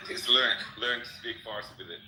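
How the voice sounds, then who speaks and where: An Iranian-accented man, a native Persian speaker, argues dismissively in a live debate. He talks fast with lively swings and a very sharp tone, on echoey, faintly noisy audio. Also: mid-range voice. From Iran. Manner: argumentative, dismissive. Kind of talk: heated live political debate.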